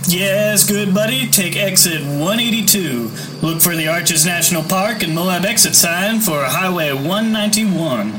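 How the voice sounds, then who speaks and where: A man's voice: high-pitched, measured pace, few pauses, background noise. A man playing a friendly male trucker on a CB radio in a radio ad. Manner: folksy, helpful, trucker slang.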